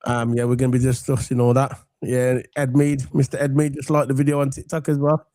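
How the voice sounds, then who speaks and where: A man from England with a croaky voice from a cold, hosting a casual football chat and rambling warmly to viewers. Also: medium pitch, monotone, a little fast, no room sound, studio quality. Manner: chatty, rambling, gives shout-outs to viewers. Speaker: football podcast host.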